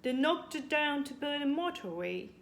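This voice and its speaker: A woman's voice: sits high, sing-song, moderate pace, a bit bright, slight background noise, pitched above the performer's own voice, heavily acted. A woman voicing a shy, protective father bear in a storybook, consoling and a little grumbling. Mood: aggrieved.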